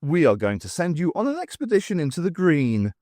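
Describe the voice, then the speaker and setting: A man's voice: on the high side, wildly swooping pitch, brisk, dark tone, no echo, clean studio sound, voice pushed up. A man voicing a menacing alien master who speaks in a commanding, precise, clinical manner, in an audiobook. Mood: cold menace. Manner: commanding, precise, literal-minded.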